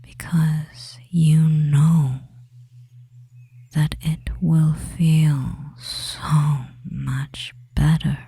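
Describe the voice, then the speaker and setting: A devious, controlling male witch acted out by a performer murmurs in a hushed, breathy whisper. The delivery is extremely slow and very dark in tone, lulling the listener in a hypnotic ASMR roleplay with little rise or fall. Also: mid-range voice, little pitch movement, full character voice. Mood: coaxing, hypnotic. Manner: slow, soothing, hypnotic, pausing between short phrases.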